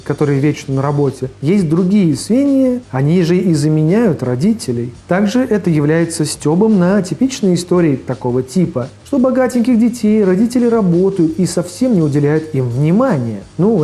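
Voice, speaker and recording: A man's voice: on the high side, mellow. A man hosting a video review, reading casual scripted narration in a conversational way. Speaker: video host reviewing forgotten cartoons. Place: voice-over with faint music behind.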